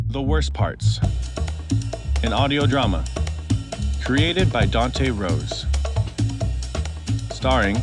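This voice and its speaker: A man reading the opening credits of an audio drama in a slow, deliberate way. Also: medium pitch. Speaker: announcer. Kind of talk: scripted credits announcement. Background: drums and music.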